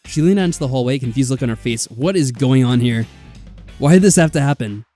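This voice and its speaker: Man, let's player, animated narration, soft dark tone, casual wry commentary, background music. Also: mid-range voice, fast talker. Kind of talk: reading a visual novel aloud with casual commentary.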